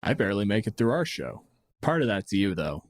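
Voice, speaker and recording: A man's voice: fairly low pitch, big pitch swings, fairly quick. A man co-hosting a podcast, chatting casually about tabletop games in a blunt, profane, joking way. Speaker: podcast host.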